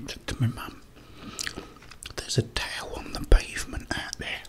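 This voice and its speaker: A man speaking in a breathy whisper, chatting and telling casual stories in a halting way as an ASMR host while eating. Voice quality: breathy, mostly unvoiced whisper.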